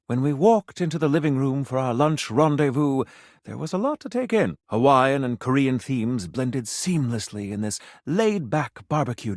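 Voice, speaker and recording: Pompous, theatrical gourmet critic, swooping pitch. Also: male voice, no echo, clean studio sound. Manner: pompous, florid, formal, dramatic delivery. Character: pompous, flirtatious food personified as a gourmet restaurant critic. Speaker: food critic character in a dating game. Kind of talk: theatrical video game voice acting.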